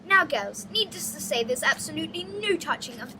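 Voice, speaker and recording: A woman's voice: very high voice, big pitch swings, fairly quick, noisy background, pitched above the performer's own voice. A woman voicing a stern, menacing, snobbish headmistress in a storybook, pompous and imperious as she gives orders. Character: stern, menacing, snobbish middle-aged headmistress of a posh school.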